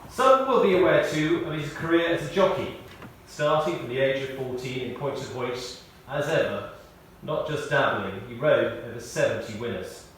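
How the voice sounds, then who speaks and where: A man reading a prepared tribute as a eulogist, telling stories in a measured way with dry humour. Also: medium pitch, animated, a bit bright. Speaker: eulogist at a memorial service. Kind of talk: prepared spoken tribute, read aloud. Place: speaking in a large church. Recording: echoey church, distant mic, faint noise.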